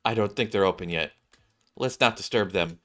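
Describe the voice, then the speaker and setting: A man's voice: fairly low pitch, moderate pace, fairly bright tone, voice pushed down, close to an everyday voice. A man voicing a level-headed, weary female companion in a game story, dry and scolding in a restrained way. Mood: calm restraint.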